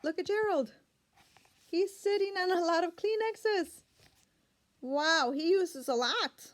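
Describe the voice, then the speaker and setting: A woman reading a picture book aloud to young children, expressive and full of questions and asides to her listeners. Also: very high-pitched, slow. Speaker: children's read-aloud storyteller.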